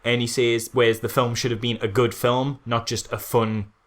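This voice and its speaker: A man's voice: mid-range voice, little pitch movement, moderate pace. A man co-hosting a podcast, critiquing a film in casual chat with an exasperated, sarcastic manner. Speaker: podcast co-host.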